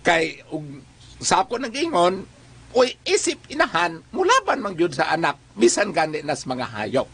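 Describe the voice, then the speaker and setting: A man's voice: sits high, sing-song, normal speed, creaky, a bit bright, slightly rough. A man with a Cebuano accent hosting a casual advice talk, colloquial and fond of rhetorical questions. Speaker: advice show host.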